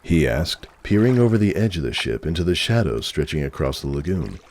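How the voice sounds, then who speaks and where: Deep-voiced man narrating with animated intonation. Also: brisk, slight background noise. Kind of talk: dramatic scripted story narration.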